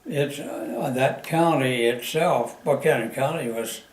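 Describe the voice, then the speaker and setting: A man of ninety-nine from the mountains of southwestern Virginia, recalling his life in a halting way with many pauses and fillers. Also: medium pitch, very animated, reverberant. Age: 90s. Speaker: interviewee. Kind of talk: oral history interview.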